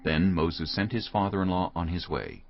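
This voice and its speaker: A male narrator reads scripture in a very low, husky, creaky voice. His storytelling is measured but expressive in pitch, on a slightly dull recording. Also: faint music behind.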